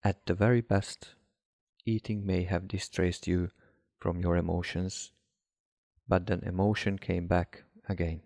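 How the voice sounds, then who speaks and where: A man reading a guided hypnosis relaxation script as a hypnotherapist, in a measured, instructive way with deliberate pauses. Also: low-pitched, level tone, a little mellow, no echo, clean studio sound. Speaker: hypnotherapist guiding a session.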